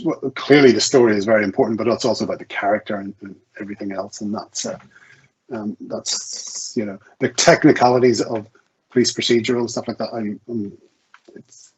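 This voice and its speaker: A man's voice: on the low side, fairly even intonation, a little fast, slight background noise. A man speaking as a guest author in an interview, conversational, hesitant and often restarting his sentences as he thinks aloud. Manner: hesitant, self-correcting, stammering restarts. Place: online video event. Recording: muffled, lo-fi online call audio.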